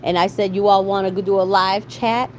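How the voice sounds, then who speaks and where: A woman's voice: medium pitch, fairly even intonation, normal speed. A middle-aged woman from Boston chatting casually as a livestream host, with a salty, slangy way of talking. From Boston, USA. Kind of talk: casual live chat with viewers. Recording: clearly noisy background.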